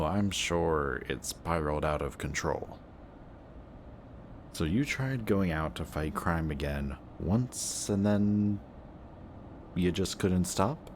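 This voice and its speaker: A man playing a wounded, protective antihero who insists he is a villain, in an emotional, intimate audio roleplay with a halting delivery. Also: sits low, a little mellow, a little hiss or hum. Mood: hurt, weary. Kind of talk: emotional audio roleplay acting, addressing the listener.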